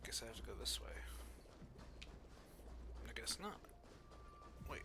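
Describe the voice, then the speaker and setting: A man streams his playthrough with casual, muttered commentary. His voice sits at an average pitch, and he pokes fun at himself as he goes, with music playing underneath. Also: sing-song, a little slow, noisy background. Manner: muttering, self-deprecating. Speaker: streamer playing a story game.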